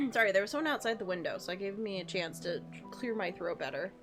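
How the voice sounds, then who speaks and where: A woman game streamer, hoarse and losing her voice, chatting casually and reading in-game notices aloud with frequent laughs and the odd swear. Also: medium pitch, expressive, fairly quick, faint music behind.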